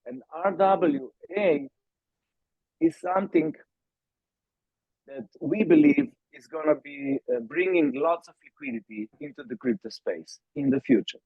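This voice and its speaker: A man's voice: a little high, big pitch swings, unhurried, vocal fry, slightly rough, no room sound. A man from Slovenia answering podcast interview questions, with many fillers and restarts. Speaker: startup founder, guest. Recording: tinny phone-like call audio.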